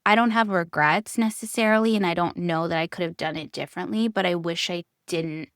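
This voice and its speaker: An American woman in her thirties hosts a personal podcast alone. Her voice sits on the low side and is recorded close and dry. She talks in a candid, conversational way, stressing her points with emphasis and repetition. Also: fairly quick, fairly bright tone, no room sound. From United States (California), born in Hungary. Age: 30s. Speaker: podcast host.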